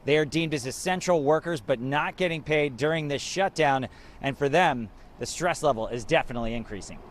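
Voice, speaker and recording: American guy tv reporter doing a live hit, slightly high voice, some traffic noise behind. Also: a little fast. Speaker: TV news transportation reporter. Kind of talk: live broadcast news reporting.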